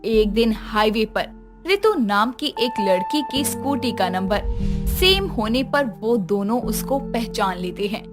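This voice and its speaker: A woman narrating a scripted horror story as a storyteller, with music behind her. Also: fairly high pitch.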